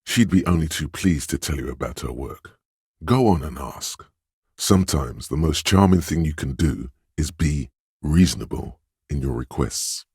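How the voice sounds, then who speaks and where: A man voicing a suave, insinuating inner voice of persuasion in a video game, narrating in a measured, knowing, gently coaxing way. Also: expressive, no echo, clean studio sound, exaggerated voice. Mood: gently encouraging. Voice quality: very deep, husky. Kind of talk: scripted video game narration in second person.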